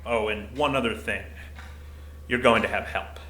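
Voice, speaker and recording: A man playing a gruff, middle-aged, desk-bound security commander in an audio drama, blunt and dryly bureaucratic. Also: on the low side, moderate pace, bright, forceful tone. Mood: stern. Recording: roomy echo, faint noise.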